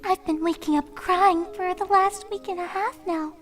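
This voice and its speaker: Casting: meek young female cartoon pegasus, tiny very high voice, hesitant and sad, over music. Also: fairly even intonation, moderate pace. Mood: anxious. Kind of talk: cartoon fan fiction character reading. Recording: music under the voice.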